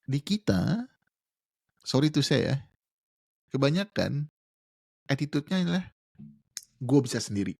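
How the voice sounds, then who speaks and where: A man's voice: mid-range voice, sing-song, a little slow, creaky, pauses often, darkish tone, slightly rough, dry recording, clean studio sound. A man, explaining at length in casual podcast chat, slangy and mixing in English words. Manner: explains with examples, slangy Jakarta register, mixes in English words. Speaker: guest.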